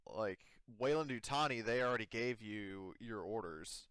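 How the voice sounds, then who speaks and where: A man running a tabletop roleplaying game as game master, improvising casually with hesitant, halting phrasing. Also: lowish voice, lively intonation, fairly bright tone, clear, resonant tone.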